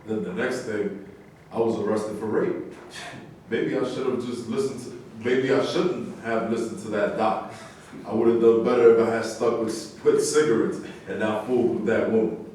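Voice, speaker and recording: A man's voice: lowish voice, fairly even intonation, normal speed, a little mellow, close to an everyday voice. Guy acting a blunt jokey ex boxer, argues back, stage reading, super echoey w faint hiss. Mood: joking. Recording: very echoey room, distant mic, faint noise.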